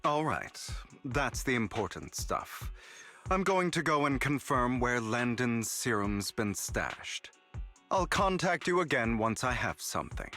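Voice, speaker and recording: An actor playing a suave, scheming male trickster in an adventure game, with polished, deliberate, theatrical delivery. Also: medium pitch, big pitch swings, pushed and bright, soft background music, full character voice. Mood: calm, resolute. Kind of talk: video game voice acting.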